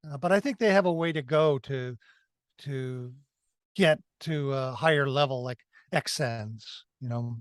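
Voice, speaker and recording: A man co-hosting a review discussion, explaining his views casually and haltingly, often repeating and correcting himself. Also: mid-range voice, smooth, dry recording, clean studio sound. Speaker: podcast co-host reviewing films.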